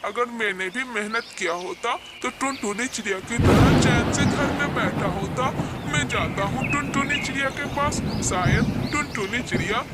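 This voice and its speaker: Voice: medium pitch, normal speed, loud music behind the voice, natural voice. A performer voicing a lazy male crow in a Hindi children's cartoon, with a whiny, dramatic delivery that repeats itself. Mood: shivering, regretful. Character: lazy, grumpy male crow who turns pleading. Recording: very noisy track with background ambience.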